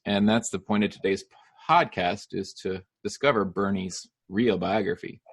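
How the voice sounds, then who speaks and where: A middle-aged man hosting a casual interview podcast, upbeat and quick with his questions, laughing easily. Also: lowish voice, fairly even intonation, vocal fry, husky. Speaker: podcast host.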